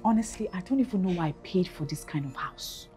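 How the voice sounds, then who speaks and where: Actress playing a feisty Nigerian young woman, very animated. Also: lowish voice, a little fast, faint music behind, lightly acted. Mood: angry, shouting. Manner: combative, indignant, sharp-tongued. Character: feisty, fed-up young woman bickering with her flatmate. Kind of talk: film drama acting.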